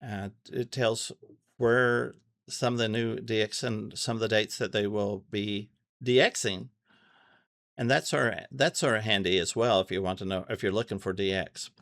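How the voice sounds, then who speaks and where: Casual man with a gravelly, creaky voice. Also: fairly low pitch, steady tone, fairly bright tone, dry recording, studio quality. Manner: halting, thinking aloud, repeats phrases. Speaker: amateur radio tutorial host. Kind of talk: casual unscripted screen walkthrough.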